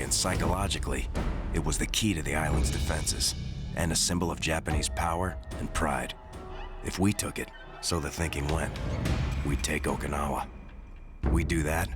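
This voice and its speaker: A male actor playing a sombre American marine who narrates the Pacific war in a measured, scripted cinematic style. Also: rumbling low. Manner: measured, grave. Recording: prominent music under the narration.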